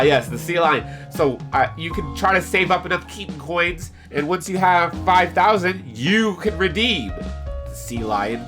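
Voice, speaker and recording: A man with a slightly stuffy nose chatting casually with live stream viewers in an excitable, playful, rambling way. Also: medium pitch. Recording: music behind the voice. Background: background music with guitar.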